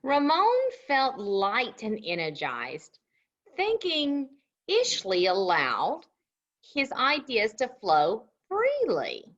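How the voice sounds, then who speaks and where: A woman's voice: on the high side, huge pitch swings, very slow, fairly bright tone. A woman co-hosting a children's story show, reading aloud and chatting between passages. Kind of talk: children's read-aloud with chat. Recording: muffled lo-fi audio.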